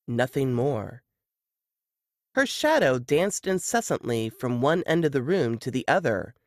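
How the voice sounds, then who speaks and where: A man's voice: medium pitch, creaky, dry recording, studio quality. A man reading scripted suspense fiction as an audiobook narrator.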